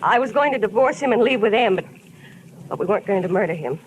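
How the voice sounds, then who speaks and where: A woman's voice: medium pitch, expressive, fast talker, natural voice. Woman acting cornered wife in old radio drama. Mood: pleading. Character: cornered, defensive unfaithful wife, urgent and pleading. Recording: noisy vintage radio recording.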